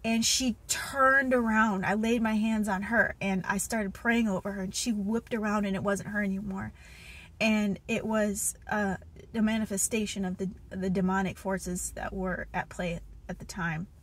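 Husky woman telling a dream in her car. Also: mid-range voice, fairly even intonation, normal speed, fairly bright tone. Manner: earnest, many fillers and restarts. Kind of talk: casual testimony and Bible reading. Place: inside a parked car. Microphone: phone held at arm's length.

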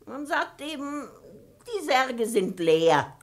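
A woman's voice: sits high, big pitch swings, takes it slowly, full character voice. An actress playing a stern, sardonic maidservant in a 1950s radio play, commanding and motherly, speaking with deliberation. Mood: soothing, practical. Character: stern, bossy maidservant, scolding yet motherly and complicit. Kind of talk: 1950s German-language radio play acting.

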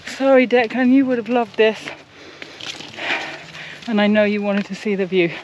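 A woman's voice: medium pitch, moderate pace, muffled recording, slight background noise. A middle-aged woman with a New Zealand accent, chatting to a handheld camera while hiking a forest trail. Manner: warm, chatty, points things out to the camera. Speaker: sailing vlogger. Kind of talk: casual vlog narration while hiking. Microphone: handheld camera at arm's length.

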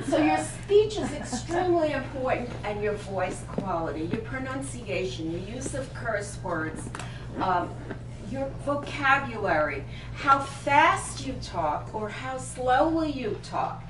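A woman's voice: sits high, big pitch swings, takes it slowly. An older woman with a Brooklyn accent presenting an image workshop to a small group, emphatic and punchy, firing direct questions at her audience. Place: boutique shop floor, indoors. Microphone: far camera, no visible mic. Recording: roomy, distant camera audio with background noise.

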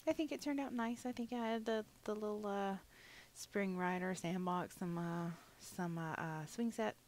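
A woman's voice: medium pitch, normal speed, darkish tone. A young adult woman giving a rambling, casual voiceover for a building game, a little sniffly, with lots of fillers. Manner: rambling, chatty, many fillers. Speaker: gaming channel host.

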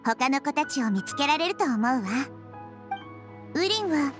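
A woman voicing a gentle, wise little girl deity in an anime game, speaking softly and with composure. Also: high voice, lively intonation, over music. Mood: calm, reassuring. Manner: soft, composed, feminine phrasing with measured delivery. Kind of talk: anime game dialogue acting.

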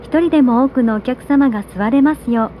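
A woman giving scripted subway announcements in a polite, measured, carefully enunciated way, over a train PA. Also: sits high, phone-quality sound. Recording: train PA with vehicle noise.

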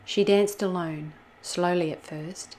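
A woman reading a children's storybook aloud as host, in an expressive style. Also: fairly low pitch, takes it slowly, a little hiss or hum. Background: soft music.

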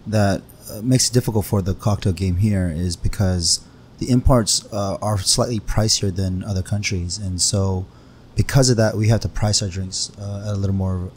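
A man's voice: normal speed, a little mellow. A man, a bartender guest on a podcast, casually explaining things at a close microphone in a bar lounge. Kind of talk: casual explanatory interview answers. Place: wood-panelled bar lounge, indoors. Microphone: close podcast microphone on stand. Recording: low rumble and faint room noise.

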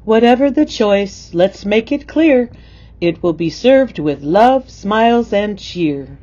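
A woman's voice: mid-range voice, animated, slow pace, warm tone, highs cut off. A woman reading a rhyming poem aloud. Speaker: poem reader.

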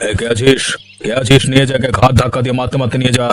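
A man's voice: mid-range voice, little pitch movement, rapid-fire, natural voice. A man playing a haughty village landlord in a cartoon, commanding and scornful in his dramatic dialogue. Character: stern, haughty village landlord, quick to condemn. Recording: music behind the voice.

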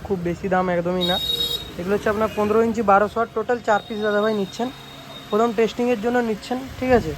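A man's voice: high-pitched, a little hiss or hum, low-quality recording. A man giving a casual product demonstration for a vlog, with informal sales patter and frequent check-in tags. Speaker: speaker repair shop owner presenting products. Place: small electronics repair shop.

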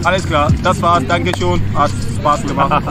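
A young man interviewing people informally outdoors in halting, simple phrases. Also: fairly high pitch, steady tone. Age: young adult. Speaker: street interviewer. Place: open grassy park, outdoors. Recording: outdoor recording with loud crowd noise. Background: loud music and crowd.

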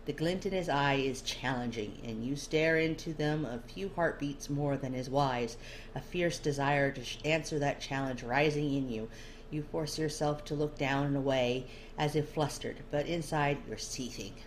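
A woman's voice: deep voice, normal speed, poor audio. A woman narrating a scripted story in the second person, as an amateur reader of fiction. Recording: clear background noise.

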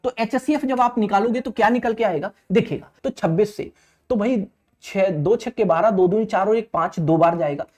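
A man's voice: high-pitched, normal speed, darkish tone. A man in his thirties teaching exam maths step by step, repeating points and checking that listeners follow. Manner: instructive, repetitive, often addresses listeners as friends and checks understanding. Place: indoor teaching studio at a digital board.

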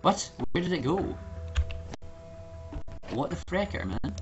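A man's voice: high-pitched, animated, takes it slowly. A man in his thirties giving casual gameplay commentary, muttering puzzled asides as he plays. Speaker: gaming video host. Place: indoor room at a computer. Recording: dull mic with loud game music behind. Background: game music, keyboard clicks, game voices.

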